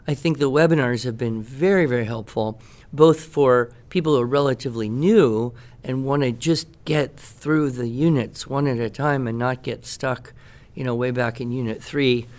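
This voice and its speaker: A man's voice: mid-range voice, normal speed, few pauses, darkish tone. Enthusiastic middle-aged American man, emphatic talker. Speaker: podcast guest expert and writing teacher. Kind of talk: conversational product talk on a podcast.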